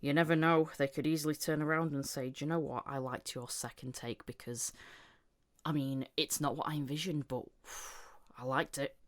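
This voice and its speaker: A woman's voice: sits low, fairly even intonation, normal speed. A woman hosting a casual, chatty interview, often telling things through bits of reported dialogue. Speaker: podcast host interviewing a voice actor. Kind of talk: casual chat.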